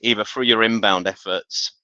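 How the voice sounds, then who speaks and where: A man in a live business discussion who speaks haltingly, often correcting himself and searching for words. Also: lowish voice, steady tone, pushed and bright, clear, resonant tone, dry recording, dull audio. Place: online live stream.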